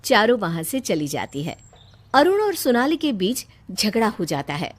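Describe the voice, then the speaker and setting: A woman's voice: mid-range voice, big pitch swings, unhurried. A woman reading scripted storytelling narration for a cartoon tale.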